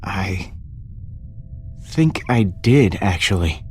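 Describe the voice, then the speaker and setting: A man playing a dazed young space adventurer in an animated game, subdued and halting. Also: deep voice, a little hiss or hum, exaggerated voice. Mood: shaken, hesitant. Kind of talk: animated game drama acting.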